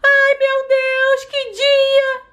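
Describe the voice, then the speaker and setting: A woman's voice: very high voice, completely flat delivery, very slow, fairly bright tone, exaggerated voice. A woman voicing a young waitress girl in a doll play video, polite and easily flustered. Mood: exasperated. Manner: polite, then exasperated.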